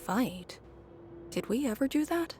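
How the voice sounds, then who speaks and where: A solemn young warrior woman in a video game. She speaks slowly and reflectively, often sinking into a breathy hush, with a wry edge. Loud music plays behind her. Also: on the high side, lively intonation.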